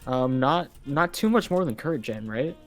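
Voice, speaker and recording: A man's voice: mid-range voice, animated, normal speed, faint music behind. A young man chatting casually about games, laid-back and swearing casually. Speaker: podcast guest. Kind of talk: casual gaming chat.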